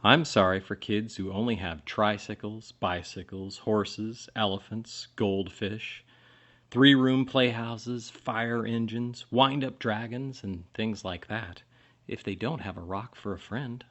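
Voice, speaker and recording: A man reading a children's picture book aloud expressively, with dramatic pauses and emphasis. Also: on the low side, fairly bright tone, lo-fi sound. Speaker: storybook reader.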